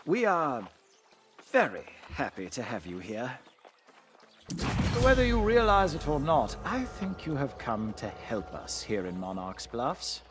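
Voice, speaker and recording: An actor playing a courtly, welcoming fantasy town leader in a video game, speaking formally and graciously. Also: male voice, medium pitch, huge pitch swings, a little slow, noisy background. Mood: helpful, welcoming.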